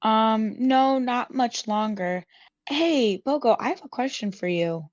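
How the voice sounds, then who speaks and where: Casting: young woman, casual roleplay gamer, slow delivery, slightly dull dry audio. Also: on the high side, dry recording. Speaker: player in a tabletop roleplaying show. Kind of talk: casual tabletop game chat with in-character lines.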